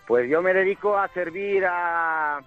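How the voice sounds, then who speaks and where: A man's voice: sits high, measured pace. A man with an accent from Spain, a truck driver calling a radio show, chatting in a plain-spoken, jokey way. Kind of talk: casual phone-in chat. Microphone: phone call. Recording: dull phone line, faint music.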